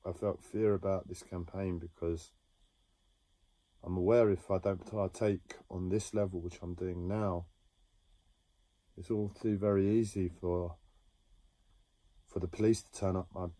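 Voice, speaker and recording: A man talking slowly and haltingly about his own experiences in a reflective monologue, with many pauses and restarts. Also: low voice, steady tone, warm tone, no room sound. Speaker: livestreamer sharing a personal story. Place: home.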